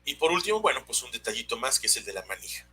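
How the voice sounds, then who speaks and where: A Mexican man gives an unscripted technical lesson in a mid-pitched, very sharp and forceful voice. He speaks haltingly, often searching for the next word. The webinar audio carries a faint noisy hum behind him. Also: sing-song. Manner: hesitant, halting, searching for words. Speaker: technical instructor presenting a webinar. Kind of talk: unscripted technical explanation of engineering drawings.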